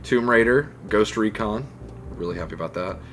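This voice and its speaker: A man chatting casually as a podcast co-host, with an animated way of talking. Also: on the low side, normal speed. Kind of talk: casual chat about video game movies. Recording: clear background noise.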